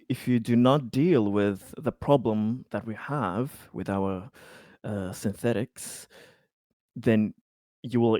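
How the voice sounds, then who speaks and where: A man playing an urgent colony insider in a tabletop roleplay, speaking insistently and haltingly as he warns of danger. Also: on the low side, darkish tone, dry recording, studio quality. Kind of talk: improvised in-character roleplay.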